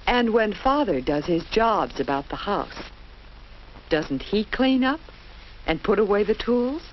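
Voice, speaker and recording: A woman's voice: medium pitch, big pitch swings, measured pace. An American woman narrating a 1950s educational film for children in a didactic, storytelling way, with simple phrases and questions to young listeners. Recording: dull, noisy vintage 1950s film soundtrack.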